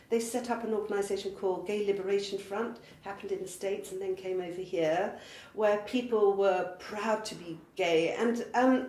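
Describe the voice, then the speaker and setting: An older woman from the north of England, recalling her life in slow, measured, poetic phrases. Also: mid-range voice. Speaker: interviewee and narrator. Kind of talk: reflective spoken recollection. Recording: roomy recording with loud music behind.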